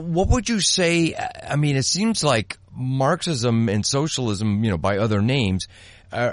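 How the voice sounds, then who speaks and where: A man's voice: medium pitch, dry recording. Male interviewer, dry close sound. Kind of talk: casual conversational interviewing.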